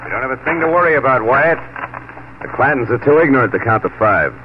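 An actor playing a cool, sardonic, loyal gunfighter in a 1940s radio western, speaking dryly. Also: male voice, medium pitch, expressive, quick pace, close to an everyday voice. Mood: cool, mocking. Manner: dry, sardonic. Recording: tinny, noisy 1940s radio broadcast.

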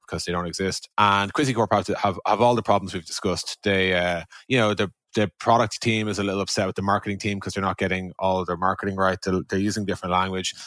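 Fast-talking man with a deep voice. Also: fairly bright tone, no room sound. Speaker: podcast host. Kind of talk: conversational interview, posing questions and scenarios.

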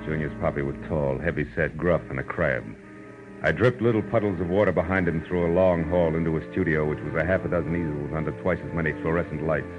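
An American actor playing a wry, world-weary private detective, deadpan and sardonic, in a 1940s radio drama style. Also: male voice, rumbling low, quick pace. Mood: wry narrating. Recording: muffled old radio recording, music behind.

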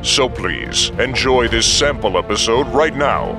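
Male promo narrator, mid pitch, wry, deadpan delivery, music underneath. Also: unhurried. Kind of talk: scripted promo read.

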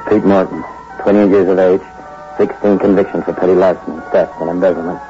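An actor playing a bitter young convict on the run in an old-time radio crime drama, with hard-boiled gangster talk. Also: male voice, sits low, steady tone, quick pace, natural voice. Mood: bitter, brooding. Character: bitter young fugitive convict hunting his wife for revenge. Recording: dull old radio recording with music.

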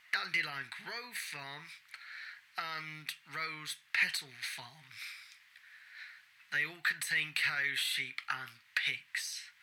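An actor playing a methodical investigator who narrates field notes in deliberate, measured phrases for an audio drama. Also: medium pitch, sharp tone, rough recording.

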